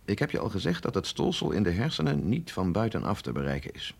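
An actor playing a sceptical, authoritative, middle-aged male scientist in a radio drama, explaining in a measured, insistent way. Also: low voice, huge pitch swings, creaky, slightly rough, full character voice. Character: sceptical, authoritative male scientist briefing an agent, no longer young. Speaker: scientist doctor.

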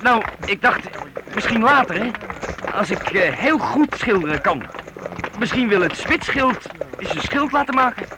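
A man's voice: high-pitched, very animated, normal speed. A male actor playing a shy, earnest boy apprentice painter in a radio drama, hesitant and stammering. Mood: shy, hopeful. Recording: noisy old radio play recording.

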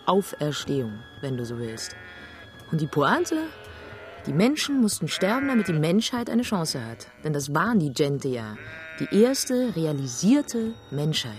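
A woman's voice: sits low, big pitch swings, measured pace. A woman playing a blunt, sardonic composer in a German radio drama, speaking in wry, clipped, philosophizing phrases. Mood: wry, assertive. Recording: music behind the voice.

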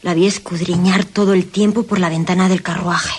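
A woman's voice: lowish voice, steady tone, normal speed, darkish tone, slightly rough. An actress playing a dramatic, easily alarmed lady companion in a radio play. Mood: horrified, hushed.

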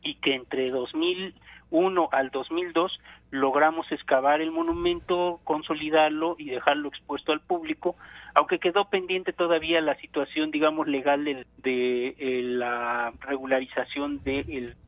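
A man, an archaeologist guest, explaining in a measured way and pausing often with fillers while he finds his words. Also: fairly high pitch, fairly even intonation, dry recording. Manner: measured, thinking aloud with many fillers. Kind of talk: explanatory phone interview. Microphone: telephone. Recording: tinny dry phone line.

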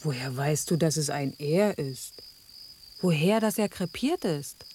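An actress playing a sensible, sardonic mother cat, dry, well-spoken and gently chiding, in a radio drama. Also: sits low, expressive, measured pace, slight background noise. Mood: amused. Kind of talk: German radio drama acting.

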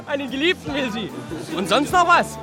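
A man's voice: very high-pitched, big pitch swings, moderate pace. An actor in a seventies radio play, a man playing a scornful mocker who laughs at everything. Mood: mocking. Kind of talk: 1970s German radio play acting. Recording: music and crowd behind voice.

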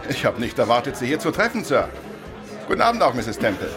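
An actor playing a jovial, patronizing police inspector with a breezy manner in a radio crime drama. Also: male voice, medium pitch, very animated, fast, over music, crowd noise. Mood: wry.

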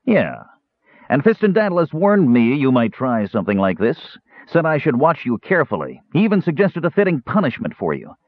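A man putting on a shrill, sneering dwarf. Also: huge pitch swings, brisk, dry recording. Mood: gloating. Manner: snarling, sneering, cackling. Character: shrill, scheming male dwarf slave-owner. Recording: dry, phone-like narrow band.

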